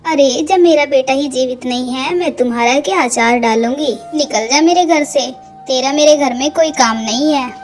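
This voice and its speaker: Woman acting a shrill, scornful cartoon mother-in-law. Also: normal speed, soft background music, close to an everyday voice. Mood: angry, scornful. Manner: scolding, cold. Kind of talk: cartoon dialogue.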